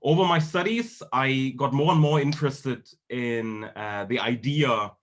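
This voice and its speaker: A man from Germany speaking as a guest lecturer on a video call, with formal wording and a halting, hesitant delivery. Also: medium pitch. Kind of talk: semi-formal online lecture.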